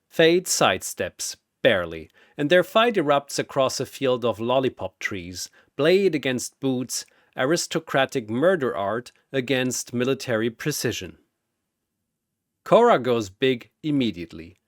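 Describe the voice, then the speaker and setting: A man narrates a scripted tale in a theatrical, suspenseful way. His delivery is slow and deliberate, with a widely moving pitch. The recording is dry, without room echo. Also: very animated. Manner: theatrical, short suspenseful phrases. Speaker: storyteller.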